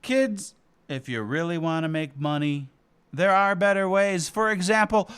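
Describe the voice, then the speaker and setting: An excitable cartoon panda, acted by a man at a table read, with a high, clear voice. He talks in halting bursts and exclamations, easygoing but quick to rant. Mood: earnest. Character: excitable digital cartoon panda, easygoing until ranting with rage. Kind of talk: animated comedy table read.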